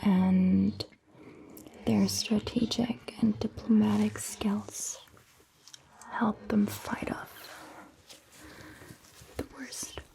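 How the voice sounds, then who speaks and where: Slow, whispering vampire doctor played by a woman. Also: medium pitch, fairly even intonation, poor audio. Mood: calm, measured. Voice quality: soft, breathy, whispered. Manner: slow, gentle, measured phrasing. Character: calm, attentive female vampire doctor recounting history. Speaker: ASMR performer. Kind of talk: soft-spoken whispered ASMR roleplay, storytelling.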